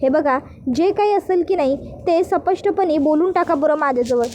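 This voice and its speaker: A woman narrator puts on a playful village wife who coaxes her husband to speak up. The voice is high and chatty. It belongs to a spoken serial recap. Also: moderate pace, darkish tone, slight background noise, natural voice. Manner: teasing, coaxing, chatty.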